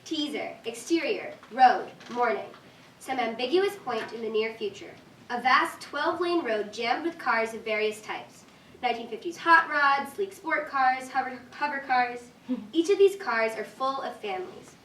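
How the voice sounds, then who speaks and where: A woman's voice: high-pitched, lively intonation, moderate pace, a bit bright. Young woman reading aloud in an echoey room. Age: young adult. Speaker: stage-directions reader. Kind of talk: scripted narration, read aloud. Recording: echoey room, distant mic, faint noise.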